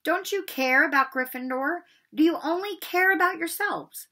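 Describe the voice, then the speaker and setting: Woman playing a bossy schoolgirl, pitched up. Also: normal speed, sharp tone. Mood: scolding, indignant. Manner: scolding.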